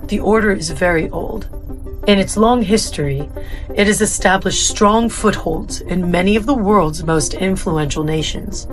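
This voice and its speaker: An actress playing a composed, authoritative facility guide, speaking formally and explaining things in an audio drama. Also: female voice, medium pitch, close to an everyday voice, rough recording.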